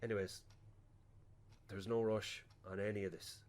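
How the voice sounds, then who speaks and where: A man's voice: on the low side, level tone, moderate pace, darkish tone, lightly acted. A man with an Irish accent acting an awkward, apologetic young funeral director in a radio play, consoling and stumbling over his words. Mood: awkward sympathy.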